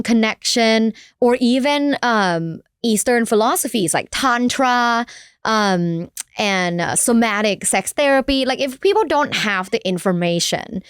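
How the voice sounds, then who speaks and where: A woman's voice: fairly high pitch, clear, resonant tone, no echo, clean studio sound. A woman from Thailand, a sex educator and professor, speaking frankly and laughing easily as a podcast guest. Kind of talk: candid podcast conversation. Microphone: studio mic.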